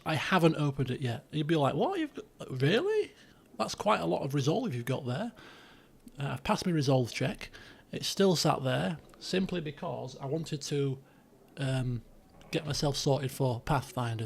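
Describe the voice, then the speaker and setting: A British man with a croaky voice, chatting casually and in a rambling, colloquial way as he hosts a game livestream. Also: a little high. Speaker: game streamer, host.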